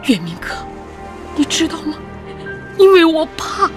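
An actress playing an anxious widowed young mother in a radio drama, pleading in a plaintive, halting, earnest way. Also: extremely high pitch, sing-song, heavily acted. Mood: distressed pleading. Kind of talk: emotional radio drama acting. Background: music.

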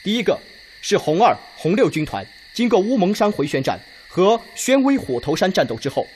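A methodical Red Army officer presses his case point by point in a radio drama. He is emphatic, with a fairly high, very varied pitch. Faint noise sits in the background. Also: very animated, normal speed, a little mellow. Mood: insistent, methodical. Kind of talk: Chinese radio drama acting.

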